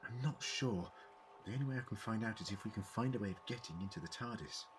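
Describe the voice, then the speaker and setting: An actor playing an eccentric, clever time-travelling man in an audio drama, deliberate and formally worded as he explains. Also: on the low side, a little hiss or hum, natural voice. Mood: measured, explaining.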